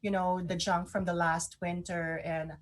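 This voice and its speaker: A woman with a Filipino accent chatting casually as a radio co-host, expressive, often halting and repeating words as she goes. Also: on the low side. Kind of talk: casual chat.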